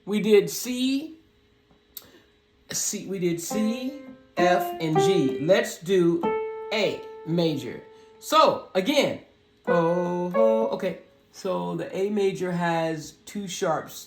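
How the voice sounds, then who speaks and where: A person with a low, deep, raspy voice gives a casual, step-by-step piano lesson for beginners and talks through each step. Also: lively intonation. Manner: slow, talks through each step, sings scale notes on "ho". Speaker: piano learner teaching beginners. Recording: roomy echo with piano playing.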